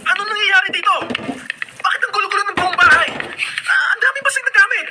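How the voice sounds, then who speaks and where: A man's voice: very high-pitched, animated, quick pace, very noisy. A man with a Filipino accent playing a responsible elder brother, earnest and admonishing, in a radio drama. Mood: alarmed, scolding. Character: adult elder brother, responsible, pleading peacemaker of the household. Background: loud dramatic music.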